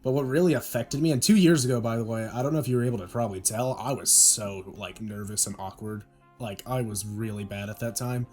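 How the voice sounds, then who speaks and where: Casting note: young man, deep voice, rambling self-deprecating podcast chat, mid pitch. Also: a little fast, darkish tone, faint music behind. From Oklahoma. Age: young adult.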